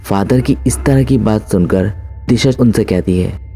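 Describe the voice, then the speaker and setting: Horror story narrator, male: mellow, slightly low voice, scripted storytelling over background music. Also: a little slow, gravelly.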